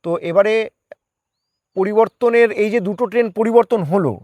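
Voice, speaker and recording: A man's voice: high-pitched, normal speed, no echo, lo-fi sound. A man talks as a guest in a conversational interview. He explains his views, slipping English words into his speech. The sound is dry, with very little room in it. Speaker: guest, part-time journalist.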